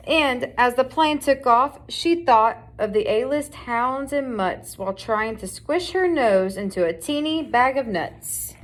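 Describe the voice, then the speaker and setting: A woman's voice: on the high side, lively intonation, a little slow, hardly any pauses. A woman reading a children's picture book aloud as scripted narration. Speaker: storybook reader.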